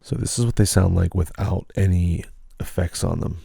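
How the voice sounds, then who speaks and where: A man's voice: low-pitched, moderate pace, vocal fry, mellow, husky, no room sound. A man giving a casual explanatory tutorial as a video creator.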